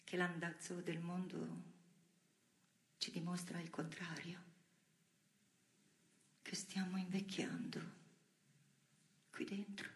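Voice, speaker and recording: An actress playing a weary, defiant imprisoned dissident in an intimate theatrical monologue, creaky and breathy, often dropping to a whisper. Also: female voice, fairly low pitch, level tone, echoey room. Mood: brooding, bitter. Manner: brooding, drawn-out phrasing.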